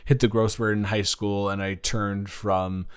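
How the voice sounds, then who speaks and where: Guy playing nervous college jock crushing on an old friend, smooth lowish voice, rambly and sincere, romance audio roleplay. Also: little pitch movement, close to an everyday voice. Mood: reflective, easygoing. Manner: rambling, halting, stammering, earnest. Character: tall young college athlete, nervous, smitten childhood friend. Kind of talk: improvised romantic audio roleplay, addressing the listener.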